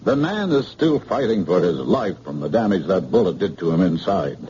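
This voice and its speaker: An actor playing a gentle, cheerful hospital doctor in an old radio drama style. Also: male voice, sits low, big pitch swings, normal speed, a little hiss or hum. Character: kindly hospital doctor, chief of staff. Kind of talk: 1940s radio acting. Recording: dull old radio broadcast.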